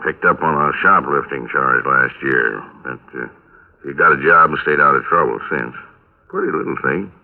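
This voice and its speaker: An actor playing a gruff, weary American police lieutenant who talks in a casual, hesitant, rambling way on old radio drama. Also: male voice, rumbling low, moderate pace. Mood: matter-of-fact. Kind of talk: 1950s radio drama acting. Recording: narrow-band old radio recording.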